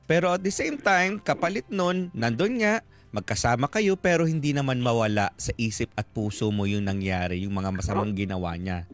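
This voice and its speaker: Animated Filipino man, radio host. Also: medium pitch, normal speed. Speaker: radio advice show host. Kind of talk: casual conversational advice. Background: faint music.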